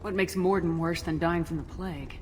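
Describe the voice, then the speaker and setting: An actress playing a determined space soldier hero in a video game, firm, direct and composed. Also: female voice, fairly low pitch, steady tone, fairly quick, slight background noise, close to an everyday voice. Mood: businesslike. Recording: reverberant space, music behind.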